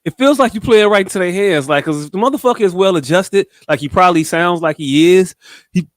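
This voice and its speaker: A man's voice: sits high, quick pace, dry recording. A man chatting casually as a podcast host, with slangy, profane, joking banter and a slight stutter. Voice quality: slight speech impediment with some stutter. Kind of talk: casual chat.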